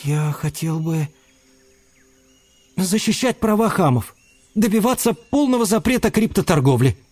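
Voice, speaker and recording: A man's voice: high-pitched, lively intonation, unhurried, a little mellow, husky, voice pushed up, heavily acted. A man voicing an earnest, naive little boy chameleon in a storybook reading, with a childlike, pleading manner. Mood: hopeful, curious.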